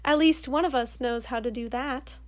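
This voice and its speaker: A woman's voice: sits high, moderate pace, natural voice. A woman playing a bitter, sarcastic, spiteful commercial co-star in an amateur radio play. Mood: snippy. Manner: snippy, sarcastic.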